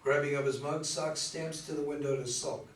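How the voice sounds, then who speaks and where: A man's voice: a little high, fairly even intonation, moderate pace. A man narrating scripted stage directions at a play reading. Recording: roomy, distant mic, faint noise.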